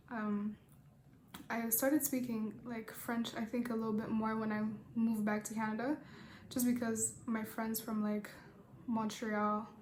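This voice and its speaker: A woman's voice: on the high side, a little mellow, slight background noise. A young woman from Rwanda chatting casually while doing her makeup and answering viewer questions, rambling with lots of fillers and offhand swearing. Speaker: beauty vlogger. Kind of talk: casual get-ready-with-me makeup chat and Q&A. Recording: echoey room.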